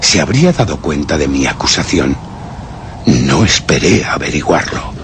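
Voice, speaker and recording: An actor playing a cold, vengeful murderer who narrates a radio horror drama in deliberate, literary Castilian Spanish. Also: male voice, extremely low pitch, sing-song, noisy background. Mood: cold, sinister. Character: cold, vengeful male murderer recounting his crime.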